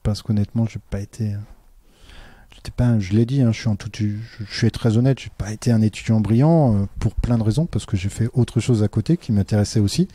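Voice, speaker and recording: A man's voice: lowish voice, quick pace, warm tone, no echo. A man, a teacher, talking earnestly in a casual interview, with many fillers and restarts. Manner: earnest and reflective.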